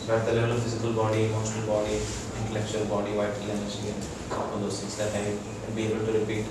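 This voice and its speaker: A man with an Indian accent gives an informal educational talk as a doctor, explaining in a halting way to a group. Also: fairly low pitch, monotone. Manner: halting, explanatory, checks listeners follow. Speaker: doctor giving a wellness lecture. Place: echoey room with a small audience. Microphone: distant from the speaker. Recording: very echoey room, distant mic, loud noise.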